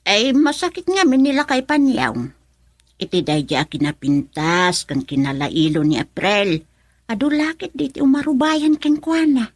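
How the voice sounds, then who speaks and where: A woman's voice: fairly high pitch, expressive, normal speed, no room sound. An actress playing a gossipy older mother in a radio drama, with an Ilocano accent. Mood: chatty, gossipy.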